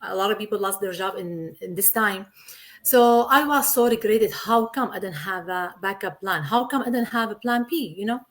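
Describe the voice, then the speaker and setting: A woman's voice: mid-range voice, moderate pace, a bit bright. A woman from Syria in her thirties, a guest in a casual interview, talking earnestly in simple, repetitive English. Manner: earnest, repeats herself, simple non-native English.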